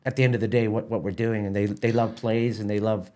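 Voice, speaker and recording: A man's voice: on the low side, flat delivery, fast, darkish tone. A middle-aged man with a Canadian accent, giving a casual interview as a theatre company president, often repeating words as he explains.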